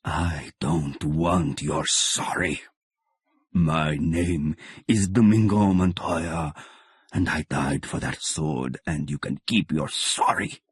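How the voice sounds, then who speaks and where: A man voicing the ghost of a dead swordsmith father, speaking slowly and heavily with bitter reproach. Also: rumbling low, breathy edge, no echo, clean studio sound, voice pushed down, exaggerated voice. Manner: slow, heavy, reproachful.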